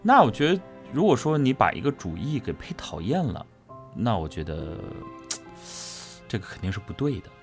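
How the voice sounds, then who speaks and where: Male interviewee, voice actor. Animated, emphatic, explanatory, conversational, with faint music underneath. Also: medium pitch, moderate pace. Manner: emphatic, repeats phrases for stress. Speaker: voice actor interviewed about his character. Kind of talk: casual explanatory interview answer.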